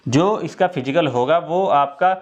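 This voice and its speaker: Repetitive, somewhat high-voiced male explainer. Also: measured pace, highs cut off. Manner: repetitive, keeps restating points and dates; addresses listeners directly throughout. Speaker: exam-update video host. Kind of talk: casual spoken explainer to viewers.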